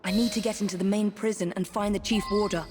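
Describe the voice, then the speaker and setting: A woman's voice: mid-range voice, fairly even intonation, quick pace, a little mellow, faint music behind, lightly acted. An actress playing a brave, purposeful Victorian woman assassin in a video game, speaking politely with an English accent. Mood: determined. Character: brave, composed female Victorian assassin on a rescue. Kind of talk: video game character acting.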